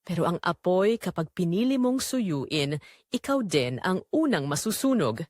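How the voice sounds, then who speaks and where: A woman's voice: lowish voice, big pitch swings, measured pace, darkish tone, no echo, clean studio sound. A woman narrating a scripted romance drama in the first person, with an emotive, sorrowful delivery.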